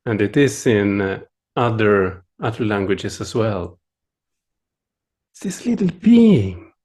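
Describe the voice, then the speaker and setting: Slow, gentle Swedish-accented man. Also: lowish voice, very animated. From Sweden. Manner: gentle, playful, chuckling often; unhurried, with pauses and searching for words. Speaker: meditation teacher. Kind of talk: dharma talk at an online retreat.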